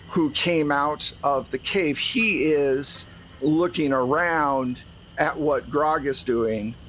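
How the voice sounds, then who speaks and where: A man with a slightly high voice runs a tabletop role-playing game. He improvises the narration and explains things in a conversational way. There is faint noise behind him. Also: a bit bright, poor audio. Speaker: game master.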